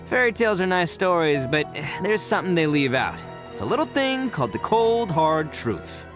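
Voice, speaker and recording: A loud, boastful oni gang boss with a big heart, his voice fairly high and swinging all over the place. He laughs easily and boasts in a video game scene. Soft game music plays beneath him.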